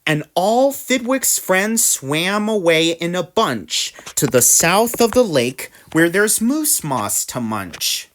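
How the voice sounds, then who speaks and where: A woman reads a rhyming children's story aloud in a slightly low voice. She takes her time, phrasing each line deliberately, and her intonation rises and falls with plenty of drama. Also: sing-song. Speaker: story reader. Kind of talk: expressive read-aloud storybook narration in rhyme.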